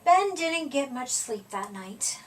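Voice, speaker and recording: High-voiced, unhurried woman reading a storybook. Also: sing-song, far from the mic. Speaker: storybook reader. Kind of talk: expressive read-aloud of a children's picture book.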